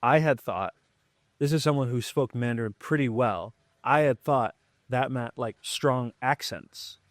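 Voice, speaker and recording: Relaxed man giving learning advice. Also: mid-range voice, a little slow, no echo, studio quality. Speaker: podcast host. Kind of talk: casual conversation.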